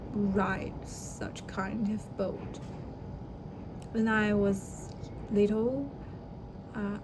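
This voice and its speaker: A young woman, a native Chinese speaker, talking through a personal reflective monologue with many fillers and restarts. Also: mid-range voice. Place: outdoors by the sea. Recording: noisy outdoor ambience.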